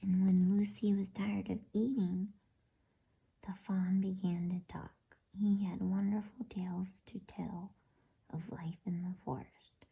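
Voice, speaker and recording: Female ASMR storyteller: dark, hushed, breathy whisper, gentle and unhurried bedtime read. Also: on the low side. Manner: slow, gentle, with brief informal asides. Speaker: storyteller reading a children's fantasy novel aloud.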